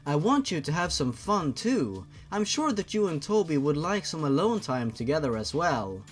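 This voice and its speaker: A man's voice: a little high, big pitch swings, moderate pace. Man voicing a caring, formal sister. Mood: caring, soothing. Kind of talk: storybook character reading.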